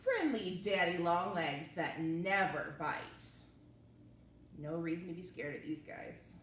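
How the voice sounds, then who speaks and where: A woman reads and chats to small children as a library story time presenter. Her delivery is very lively and singsong, with an encouraging way of addressing young listeners. The recording is roomy and somewhat distant, with faint noise behind her. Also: fairly low pitch, slow pace. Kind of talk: children's read-aloud and chat to young viewers.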